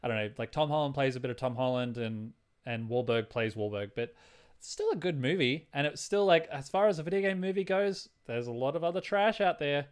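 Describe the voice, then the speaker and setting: A man's voice: mid-range voice, expressive, a little fast, clear, resonant tone. A man talking casually as co-host of a podcast on movies and video games. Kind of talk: casual movie and game chat.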